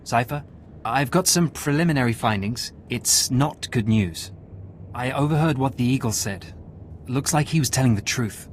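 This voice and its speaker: An actor playing an earnest, slightly anxious intelligence analyst in a video game, reporting in an informal way. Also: male voice, mid-range voice, normal speed, a little hiss or hum, close to an everyday voice. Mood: uneasy.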